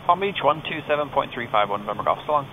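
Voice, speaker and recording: Casting: male American pilot, mid-pitched, casual flight vlogger with clipped radio calls, clear engine noise behind. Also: racing, phone-quality sound. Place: cockpit of a small turboprop plane in flight. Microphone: aviation headset. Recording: steady aircraft drone behind headset audio.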